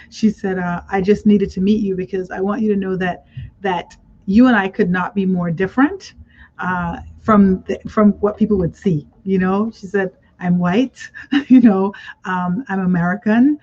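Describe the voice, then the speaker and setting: A woman hosting a radio talk show, telling stories in a casual chat and encouraging her guest. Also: mid-range voice. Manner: warm encouraging affirmations, storytelling. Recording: dull lo-fi radio audio.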